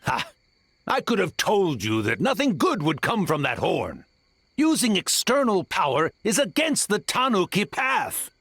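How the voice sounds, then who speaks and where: A woman's voice: on the low side, big pitch swings, a little slow, fairly bright tone, husky, dry recording, heavily acted. A woman voicing a cocky, flippant old trickster tanuki spirit in a video game, pompous and breezy. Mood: smug. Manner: pompous, breezy self-excusing.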